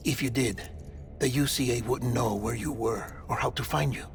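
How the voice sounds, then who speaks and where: An actor playing a melancholy, gentle medical official in a game cutscene, speaking in a measured, hushed, hinting way. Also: male voice, mid-range voice, noisy background, lightly acted. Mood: quietly conspiratorial. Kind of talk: video game cutscene acting. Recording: music behind the voice.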